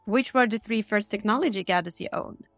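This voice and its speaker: A woman hosting an interview, reading scripted questions in a steady, measured way. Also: mid-range voice, creaky, dry recording, faint music behind. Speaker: podcast interviewer. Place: Stockholm.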